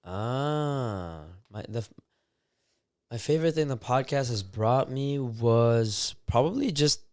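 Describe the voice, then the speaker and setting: A man's voice: mid-range voice, expressive, takes it slowly, no room sound, clean studio sound. A young man from Canada co-hosting a podcast, talking loosely with lots of fillers and casual swearing. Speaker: podcast co-host. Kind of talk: casual chat.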